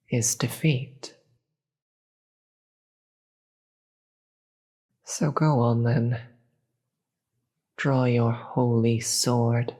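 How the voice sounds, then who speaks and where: A performer voicing a weary, regal demon queen with a husky voice and formal, theatrical manner in a scripted audio roleplay. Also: mid-range voice, darkish tone, heavily acted. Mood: weary, bitter. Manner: theatrical, formal, slow deliberate delivery.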